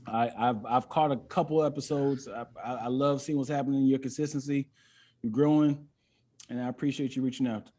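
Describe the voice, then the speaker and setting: A man's voice: mid-range voice, steady tone, brisk, dark tone. A man chatting casually as a comedian guest on a podcast, playful and joking, using plenty of slang.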